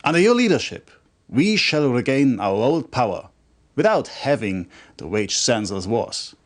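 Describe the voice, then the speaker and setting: An actor playing a fervent, conspiratorial military officer in a video game cutscene, speaking in a formal, emphatic, declamatory way. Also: male voice, medium pitch, very animated, normal speed, close to an everyday voice. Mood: triumphant, solemn. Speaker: military officer briefing a commander.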